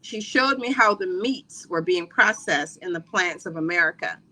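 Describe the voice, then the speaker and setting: A woman's voice: fairly low pitch, animated, moderate pace, sharp tone, rough recording. A middle-aged woman from the Caribbean, chatting freely as a podcast guest with many fillers and restarts. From Trinidad and Barbados. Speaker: podcast guest, wellness coach. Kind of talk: casual interview talk.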